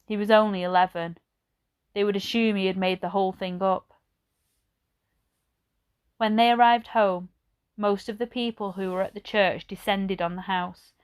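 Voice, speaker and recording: Female storybook narrator, teacher reading aloud. Crisp, clean voice at middle pitch, measured and expressive.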